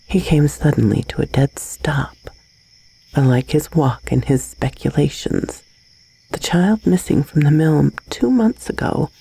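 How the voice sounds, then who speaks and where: Lady reading a spooky bedtime story, breathy n creaky, dark soft tone, measured and dramatic. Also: low voice, low-quality recording. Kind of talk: scripted narration of a gothic tale.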